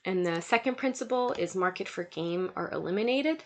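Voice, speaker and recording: A woman's voice: mid-range voice, animated, moderate pace. A young woman with a cold and a nasal, stuffy voice, giving a recorded slide lecture and reading through lists of points with frequent fillers. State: sick, nasal congestion. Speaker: student lecturer.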